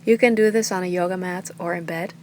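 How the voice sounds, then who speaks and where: A woman teaching meditation with a calm, soothing, unhurried delivery that often trails into breathy softness. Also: fairly low pitch, a little hiss or hum. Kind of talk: guided meditation, soft slow instruction.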